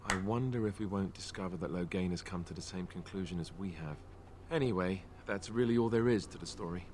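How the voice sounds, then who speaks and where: A grave nobleman, voiced by a man, speaks in a very deep, measured voice. A clear hiss runs beneath this video game audio. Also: darkish tone, natural voice.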